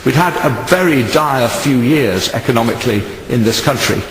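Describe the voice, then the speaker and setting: A man giving a forceful parliamentary speech. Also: mid-range voice, animated, normal speed, slight background noise. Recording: echoey hall from some distance.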